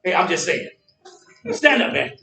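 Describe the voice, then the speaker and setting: An actor playing a boastful, teasing older American barbershop owner and former boxer, talking in an animated, folksy way and repeating himself for emphasis. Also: male voice, fairly high pitch, moderate pace, gravelly, soft background music, full character voice. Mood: cocky, goading. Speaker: stage actor. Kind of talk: stage play dialogue. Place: indoor theatre stage, picked up from afar. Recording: echoey stage hall, distant microphone.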